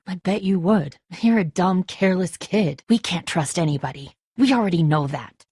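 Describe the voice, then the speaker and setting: A woman's voice: on the low side, breathy edge, no room sound, studio quality, heavily acted. An actress playing a tough, stressed, protective woman who leads zombie-apocalypse survivors in a dramatic video game, speaking bluntly and with urgency. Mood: angry, defensive.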